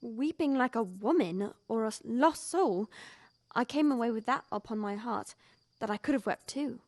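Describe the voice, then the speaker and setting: Young woman acting an earnest, high-voiced Victorian maidservant. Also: lively intonation, moderate pace, a little mellow. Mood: haunted, low. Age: young adult. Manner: earnest, deferential, emphatic storytelling. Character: loyal, frightened longtime female household servant, earnest and superstitious. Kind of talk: audio drama acting of a Victorian tale.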